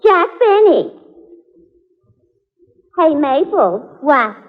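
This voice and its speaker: An actress playing a gossipy, sardonic switchboard operator in 1940s radio comedy, with a deadpan wisecracking manner. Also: high-pitched, very slow, full character voice. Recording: muffled old radio broadcast, loud audience.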